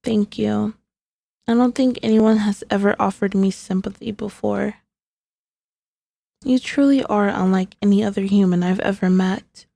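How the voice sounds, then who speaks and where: A woman's voice: medium pitch, fairly even intonation, measured pace, gravelly, warm tone, dry recording. A woman playing a sassy, sneaky, possessive centuries-old female demon in an ASMR roleplay, speaking in a haughty, teasing, formally old-fashioned way. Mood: softened. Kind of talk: ASMR roleplay, scripted character acting.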